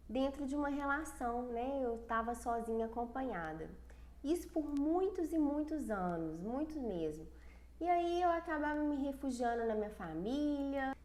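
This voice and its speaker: Brazilian woman, congested, casual livestream chat, earnest, roomy echo. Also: fairly high pitch. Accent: Brazilian Portuguese. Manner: earnest, personal, with frequent restarts. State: congested from a cold. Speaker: self-esteem coach.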